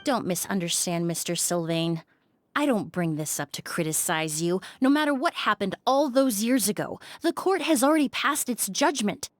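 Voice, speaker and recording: An actress voicing a composed female perfumer in a game, speaking in a calm, measured, precise way. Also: mid-range voice, close to an everyday voice. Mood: persuading. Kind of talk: game voice acting. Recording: dry studio recording.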